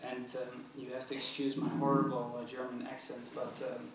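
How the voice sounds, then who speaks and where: A man in his thirties with a Dutch accent gives an academic response, pausing often and restarting his phrases. His voice rings through a large auditorium, and the stand mic picks him up from some distance. A faint hum of the room sits behind him. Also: medium pitch, monotone. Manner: thoughtful, hesitant, many fillers and restarts. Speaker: curator responding to a lecture. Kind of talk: academic talk. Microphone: stand mic at table. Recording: very echoey hall, mic from a distance.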